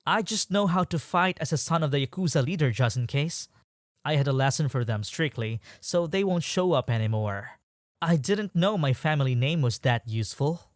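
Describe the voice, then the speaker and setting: A man voicing a calm, tough high school boy in a scripted manga dub, plain-spoken and slightly stilted. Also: moderate pace, dry recording, studio quality, lightly acted. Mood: firm. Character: calm, tough teenage boy, yakuza boss's son. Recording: music bed behind voice.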